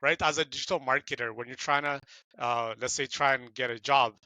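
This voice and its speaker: Bright-voiced chatty male interviewer. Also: medium pitch, fairly even intonation, brisk, dry recording. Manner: chatty and playful, jokes, repeats words. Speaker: interview host. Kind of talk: casual interview.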